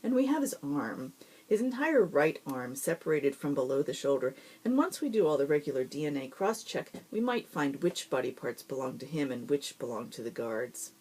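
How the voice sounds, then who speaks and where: A narrator voicing a cold, morbidly pleased female scientist boss in a storybook reading, brisk and matter-of-fact with relish. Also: mid-range voice, big pitch swings, a little mellow, breathy edge, poor audio.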